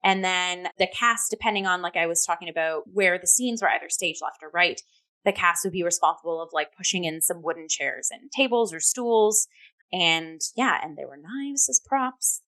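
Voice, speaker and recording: A woman co-hosting a review talk show, chatting casually and effusively, often pausing and leaning on "like" as she goes. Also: on the low side, pushed and bright, poor audio. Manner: effusive, halting, heavy use of "like".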